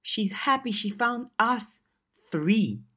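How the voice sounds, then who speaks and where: A narrator puts on a keen teenage girl for an audiobook, pitched well up. She is scheming and defensive, and presses her questions insistently. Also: expressive, slow, pushed and bright, exaggerated voice. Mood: prompting.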